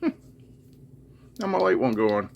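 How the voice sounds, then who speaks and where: A man's voice: lowish voice, big pitch swings, moderate pace, creaky, dull audio. A middle-aged man narrating hands-on teardown work casually, unscripted, muttering to himself as he goes. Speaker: host of an electronics teardown video. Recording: noisy room recording with clicks.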